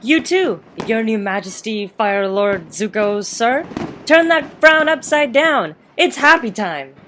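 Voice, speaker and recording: A woman playing a cheerful, earnest young boy hero in an animated comic dub. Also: fairly high pitch, slow pace, a little hiss or hum.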